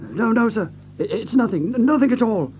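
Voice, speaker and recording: High-voiced, nervous, servile butler. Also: male voice, animated, brisk, darkish tone, a little hiss or hum. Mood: frightened, defensive. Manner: deferential, faltering. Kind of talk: radio-play dramatic acting.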